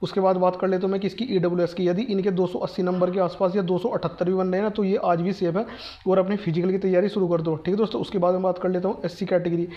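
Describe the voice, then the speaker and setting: Fast-talking up guy giving cutoff advice, keeps going "theek hai doston", kinda monotone, muffled lo-fi audio. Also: sits high. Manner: repeats "ठीक है दोस्तों", fast insistent reassurance. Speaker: exam coaching video host. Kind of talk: direct-address exam advice.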